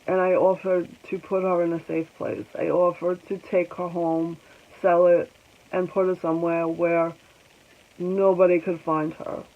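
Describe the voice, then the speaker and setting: A woman in her forties speaking slowly in a personal vlog, congested, halting, and pausing often. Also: on the low side, phone-quality sound. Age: 40s. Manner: halting, reflective, with many pauses and ums. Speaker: vlogger. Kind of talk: personal vlog talking to viewers.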